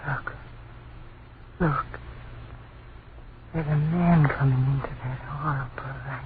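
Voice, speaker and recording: An actress playing a frightened, protective wife and mother in an old radio drama, breathless, halting and pleading. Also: rumbling low, steady tone, narrow, tinny audio. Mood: uneasy. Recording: thin, noisy old radio recording.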